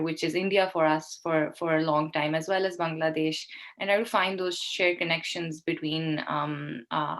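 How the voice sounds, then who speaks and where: Pakistani woman guest, 30s, lowish voice, halting casual delivery. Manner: hesitant, many fillers and restarts. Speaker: guest, cartoonist and artist. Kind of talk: conversational podcast interview.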